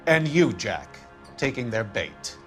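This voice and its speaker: An actor playing a smug, middle-aged, corrupt insurance vice president in a video game, haughty, wordy and condescending. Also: male voice, medium pitch, big pitch swings, slow, a bit bright, faint music behind. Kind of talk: dramatic video game acting.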